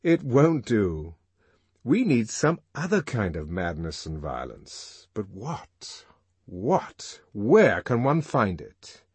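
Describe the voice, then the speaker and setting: A man's voice: fairly low pitch, huge pitch swings, takes it slowly, husky, no room sound, exaggerated voice. A man voicing an intense, restless intellectual in an audiobook, emphatic and musing aloud. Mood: frustrated, musing. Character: restless, intense male intellectual, amused yet searching. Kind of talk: audiobook character acting.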